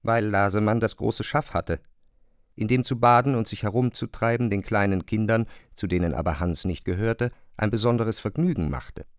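A man narrating a literary radio drama in a measured, precise manner. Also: fairly low pitch, clean tone, no room sound, studio quality.

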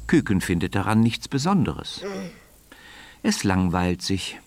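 A man narrating a scripted children's story in a measured way, now and then dropping to a hush. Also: low voice, big pitch swings, no room sound.

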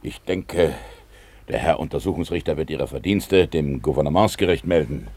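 An actor in a 1950s radio play voicing a jovial, stout, older police chief, pompous and blustering. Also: male voice, a bass voice, moderate pace, darkish tone, no echo.

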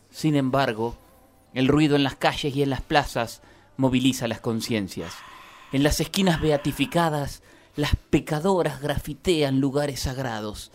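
A man with an Argentine accent reading scripted satirical radio narration in a declamatory, mock-solemn manner. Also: a little high.

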